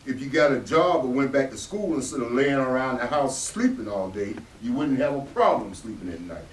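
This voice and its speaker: A man's voice: mid-range voice, animated, fairly quick, slight background noise, close to an everyday voice. A man playing a bitter, proud working-class Southern father, blunt and scolding, in a staged play reading. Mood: scolding, irritated. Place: indoor readers theatre, picked up from a distance. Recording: echoey room, distant mic.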